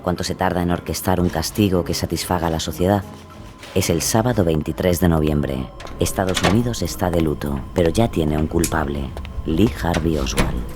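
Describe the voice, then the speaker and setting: A man narrates a scripted drama in a very deep voice. His delivery is that of a dramatic storyteller. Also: moderate pace, over music.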